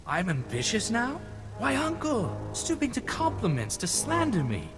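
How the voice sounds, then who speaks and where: An actor playing a young noble heir in a video game, sardonic and affronted. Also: male voice, extremely high pitch, huge pitch swings, unhurried, over music, heavily acted. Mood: indignant sarcasm. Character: indignant, sarcastic ambitious young noble heir.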